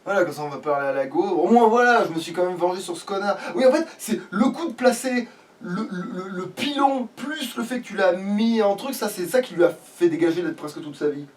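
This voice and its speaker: A man's voice: high-pitched, animated, a little fast. A man giving casual, sweary, slangy commentary as he plays a video game. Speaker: gamer playing in a let's play video. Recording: distant mic with background noise.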